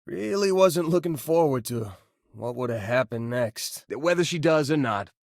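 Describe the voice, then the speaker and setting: An actor playing an easygoing young space miner in a video game, with a casual, joking way of talking. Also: male voice, mid-range voice, lively intonation, moderate pace, creaky, no room sound, studio quality. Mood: casual, wry. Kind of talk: video game companion voice lines.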